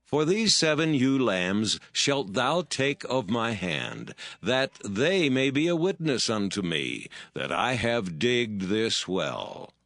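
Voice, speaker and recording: A man's voice: mid-range voice, very animated, slow, few pauses, fairly bright tone, dry recording, heavily acted. A man playing a devout, solemn patriarch in a dramatised scripture reading. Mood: solemn, steady.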